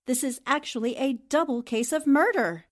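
A woman playing a clever, confident newspaper reporter in a 1940s-style radio drama, poised and deliberate. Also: high voice, lightly acted. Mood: triumphant.

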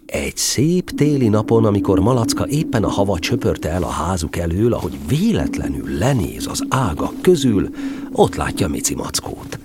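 A man's voice: sits low, very animated, heavy background noise. A man narrating a storybook audio drama, telling the tale expressively.

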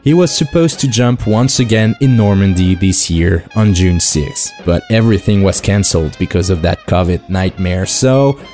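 French-accented male podcast host, 30s, lowish mellow voice, earnest read over soft music. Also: expressive, moderate pace, few pauses. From France. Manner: earnest, enthusiastic. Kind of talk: scripted podcast introduction.

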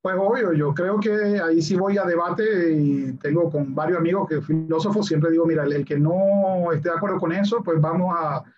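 Hombre venezolano de lengua materna inglesa, voz aguda y bromista, sonido apagado de baja calidad, invitado de pódcast. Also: male voice, a little high, steady tone, moderate pace, hardly any pauses, faint music behind. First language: English. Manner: playful, joking storyteller. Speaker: guest, lawyer and philosopher. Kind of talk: casual podcast conversation. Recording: dull lo-fi sound.

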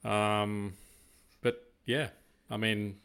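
An older Australian man co-hosting a casual podcast, talking in a rambling, deliberate way. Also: fairly low pitch, level tone, fairly bright tone, smooth. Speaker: podcast co-host.

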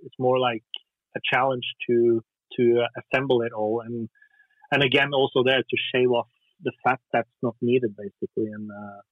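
A Norwegian musician talking casually in an interview, hesitant, with lots of fillers and restarts. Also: male voice, medium pitch, steady tone, moderate pace, dry recording. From Norway. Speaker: musician guest. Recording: dry tinny phone line.

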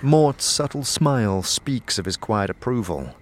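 A man narrating a fantasy audio drama in the second person, in a steady, descriptive reading. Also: mid-range voice, unhurried, slight background noise. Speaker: narrator and producer.

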